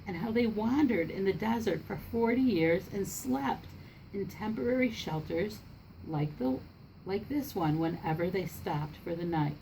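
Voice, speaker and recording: A woman's voice: medium pitch, expressive, measured pace, vocal fry, dark tone, slight background noise, rough recording. Woman reading as a patient, firm father. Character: patient, firm father explaining tradition to his child.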